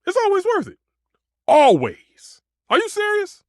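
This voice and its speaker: A man's voice: very high-pitched, wildly swooping pitch, unhurried, a little mellow, dry recording, studio quality, heavily acted. A man voicing a passionate, proud kitchen stove in a video game, a dramatic food purist who speaks emphatically and with grand flourishes. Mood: impassioned. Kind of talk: theatrical video game character dialogue.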